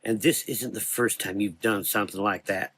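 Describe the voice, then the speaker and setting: A man's voice: fairly low pitch, fairly even intonation, moderate pace, a bit bright, slightly rough, no room sound. A man voicing a brash, sardonic Southern belle with a Southern US accent in a gothic horror audio drama. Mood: blunt, reproachful.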